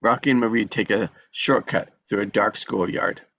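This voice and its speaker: A man's voice: on the low side, normal speed, fairly bright tone, dry recording, muffled recording. Dry, close-sounding man narrating a screenplay. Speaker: reader of stage directions. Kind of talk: scripted narration at a table read.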